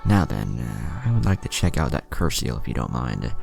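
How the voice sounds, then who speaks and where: Man reading as a deep-voiced cheeky teen ninja. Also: very animated, moderate pace, gravelly, darkish tone, faint music behind, full character voice. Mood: casual. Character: confident, cheeky, protective teenage boy ninja.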